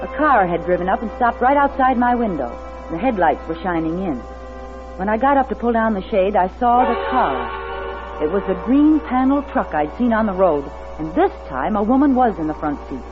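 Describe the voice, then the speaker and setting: A woman's voice: medium pitch, big pitch swings, moderate pace, close to an everyday voice. An actress playing an anxious, wary woman motorist on the run, narrating in a dramatic 1940s radio suspense style. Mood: tense narration. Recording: dull vintage radio recording with music behind.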